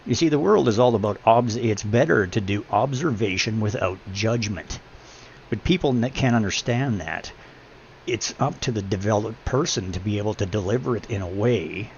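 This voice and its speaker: Deep gravelly middle-aged man, preachy. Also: slight background noise. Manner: preachy, rambling, emphatic when making a point. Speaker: livestreamer. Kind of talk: casual chat while gaming on stream. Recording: dull lo-fi stream audio.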